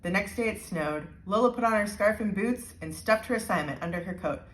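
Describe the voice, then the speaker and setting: A woman reading a children's storybook aloud with expressive narration. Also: medium pitch, a little fast. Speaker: storybook reader. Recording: roomy, echoing indoor sound.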